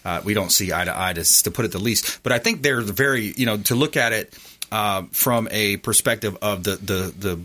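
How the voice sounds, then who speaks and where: A young man hosting an interview, talking casually and haltingly, repeating words and filling with "you know". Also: lowish voice, bright, forceful tone. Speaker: host.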